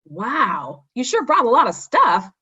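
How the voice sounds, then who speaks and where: A woman's voice: a little high, wildly swooping pitch, unhurried, pushed and bright, breathy edge, highs cut off, pitched above the performer's own voice, exaggerated voice. A woman voicing a friendly, cheerful schoolboy who encourages teamwork in a children's storybook. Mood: excited. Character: friendly, cooperative schoolboy, cheerful team player.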